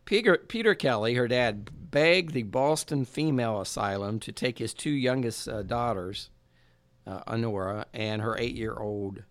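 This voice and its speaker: A man's voice: medium pitch, normal speed, no echo. A man hosting a podcast, telling a true crime story in casual chat, rambling with fillers and laughs.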